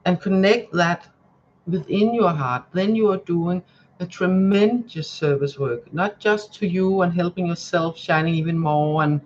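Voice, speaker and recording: A woman's voice: fairly low pitch, unhurried, dull audio, slight background noise. Danish woman, hesitant live-stream chat. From Denmark. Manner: rambling, hesitant, many fillers and restarts; soft spiritual guidance. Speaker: tarot reader.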